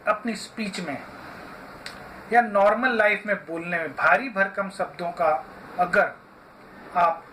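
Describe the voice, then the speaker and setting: A man giving an instructional talk as a voice therapist, deliberately, phrase by phrase with frequent pauses. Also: high voice, sharp tone, a little hiss or hum, low-quality recording. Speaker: voice therapist and yoga therapist teaching.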